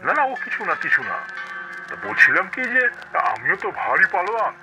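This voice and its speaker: A man voicing a gullible, boastful tiger in a cartoon, pompous and easily flattered. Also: on the high side, brisk, rough recording. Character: gullible, boastful tiger, self-styled king of the jungle. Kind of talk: cartoon voice acting. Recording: loud music behind the voice.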